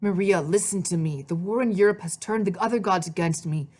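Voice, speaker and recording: A woman's voice: fairly low pitch, a little fast, a little mellow, lightly acted. A woman storyteller voicing a brooding, agitated male god of the underworld who pleads urgently. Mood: urgent, pleading.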